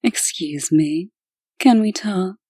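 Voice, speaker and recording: A woman's voice: on the low side, lively intonation, slow, dark tone, breathy edge, dry recording, studio quality, full character voice. A woman playing a cool, seductive, menacing angel huntress, poised and teasing, in a comic dub. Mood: quietly menacing.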